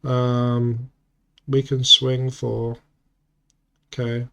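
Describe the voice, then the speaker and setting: A man's voice: mid-range voice, completely flat delivery, drawn-out words, vocal fry, bright, forceful tone, smooth. A man with a cold and a sore throat, muttering casual play-by-play commentary as he plays an online card game and thinks aloud. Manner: muttering, self-correcting play-by-play.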